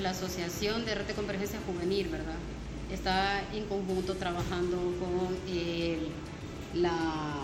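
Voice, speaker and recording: A woman's voice: on the low side, background noise. A Honduran woman, vice president of a youth network, answering a field news interview with many restarts and tag questions. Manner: hesitant, many restarts and "¿verdad?" tags. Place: outdoors at a town hall. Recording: echoey, with loud street ambience.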